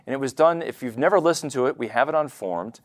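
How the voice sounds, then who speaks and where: A man's voice: medium pitch, animated, fast, dry recording. A man hosting a conversational interview podcast, leaning on and repeating words for emphasis. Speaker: podcast host.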